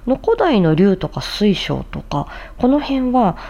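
Woman, lively intonation, soft mellow tone, theatrical reciter, clear enunciation, polite chatty host. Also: medium pitch, measured pace, highs cut off. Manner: polite, chatty asides to viewers. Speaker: voice actor hosting a tongue-twister challenge. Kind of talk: tongue-twister recitation mixed with casual commentary.

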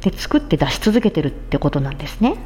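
A woman's voice: sits low, very animated, fast talker, dark tone, slight background noise. A woman hosting a casual health talk as a speech therapist, explaining things conversationally and speaking straight to her listeners. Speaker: podcast host, speech-language therapist.

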